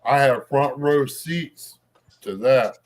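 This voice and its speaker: A man's voice: medium pitch, a little hiss or hum. A man hosting a live chat stream, telling rambling stories with plenty of fillers. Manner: rambling storyteller, halting with fillers. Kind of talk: casual chat.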